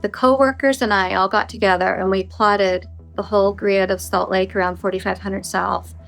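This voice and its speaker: A woman's voice: mid-range voice, steady tone, normal speed, creaky, fairly bright tone, soft background music. An American woman being interviewed, retelling what happened in a blunt, conversational way. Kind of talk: conversational personal recollection.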